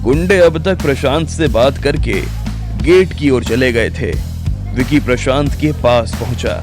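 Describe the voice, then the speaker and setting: A man's voice: mid-range voice, a little slow. A man narrating a scripted audio drama story as its storyteller. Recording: music bed under the voice.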